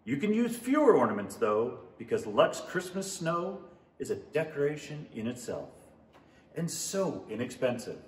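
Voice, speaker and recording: Guy doing a 1930s radio ad announcer, very animated, polished, roomy stage sound. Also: mid-range voice, normal speed, slight background noise, lightly acted. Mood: salesmanlike. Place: theatre stage.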